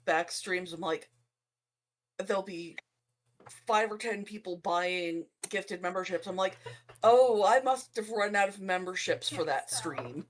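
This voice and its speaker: A woman's voice: mid-range voice, unhurried. A woman talking casually as a player in a tabletop roleplaying game. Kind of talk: casual group chat during a game.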